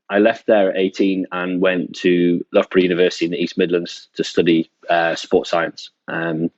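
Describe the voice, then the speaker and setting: Man, 30s, very deep voice, dull dry remote sound, relaxed and hesitant. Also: dry recording. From North Wales. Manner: relaxed, hesitant with many ums. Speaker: guest researcher. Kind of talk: conversational interview.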